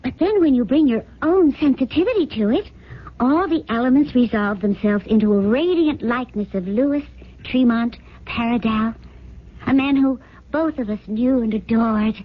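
Naive, devoted heiress, acted by a woman: high breathy voice, gushing refinement, muffled vintage radio-drama sound. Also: moderate pace, close to an everyday voice. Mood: earnest, protesting. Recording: dull lo-fi 1970s radio broadcast.

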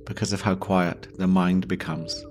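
A man guiding a yoga nidra meditation, giving gentle instructions slowly with long pauses. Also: deep voice, completely flat delivery, soft background music. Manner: slow, pausing, gentle instruction. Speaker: meditation guide.

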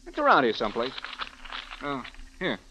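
An actor playing a curious, loyal American sidekick with a casual, puzzled manner in 1940s radio acting style. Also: male voice, mid-range voice, wildly swooping pitch, moderate pace, sharp tone, slight background noise, rough recording.

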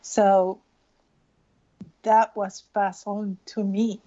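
A woman's voice: mid-range voice, little pitch movement, drawn-out words, dark tone, muffled recording. A woman from Peru, an illustrator speaking earnestly in an interview and often restarting her phrases. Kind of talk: casual interview.

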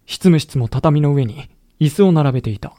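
An actor playing a samurai-era veteran who narrates a historical audio drama in a chatty, wry storytelling manner. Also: male voice, fairly high pitch, normal speed, mellow, dry recording, clean studio sound, close to an everyday voice. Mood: explanatory. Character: colloquial samurai-era veteran recounting his comrades' lost war. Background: background music.

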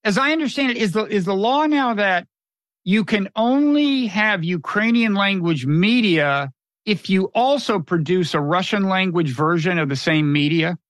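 Male interviewer, high pitch, clarifying questions. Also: moderate pace, a bit bright, dry recording, clean studio sound. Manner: clarifying, recapping context. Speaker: podcast host.

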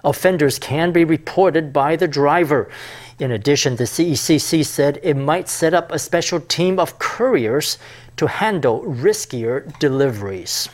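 A man reading news as an anchor in a crisp, formal way. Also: a little high, lively intonation, a little slow. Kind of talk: news report narration.

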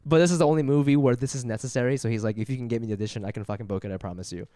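A man's voice: medium pitch, very fast, mellow, no room sound, clean studio sound. A man in his thirties co-hosting a comedy podcast, chatting casually, swearing freely and laughing often. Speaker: podcast co-host.

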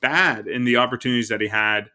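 A man's voice: mid-range voice, quick pace, sharp tone, clean tone. A man co-hosting a podcast, talking about football in a loose, rambling way with lots of fillers. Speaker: podcast co-host. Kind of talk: casual sports chat.

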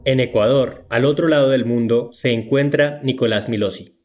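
A male narrator reads a scripted documentary link. His pitch is medium for a man, with faint piano music underneath. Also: moderate pace, a little mellow.

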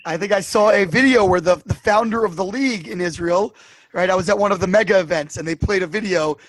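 A man's voice: sits high, fast talker, dry recording, low-quality recording. A man interviewing a guest, engaged and asking questions with a few repeated words.

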